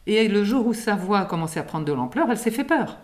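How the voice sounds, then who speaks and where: A woman's voice: mid-range voice, sing-song, fast. A woman from France, a singing teacher and author, explaining patiently in an interview with everyday examples and light laughs.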